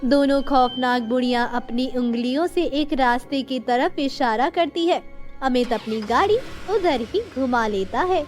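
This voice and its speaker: Woman, storyteller, high-pitched voice, scripted horror narration, music behind. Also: unhurried, a little mellow. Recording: music under the voice.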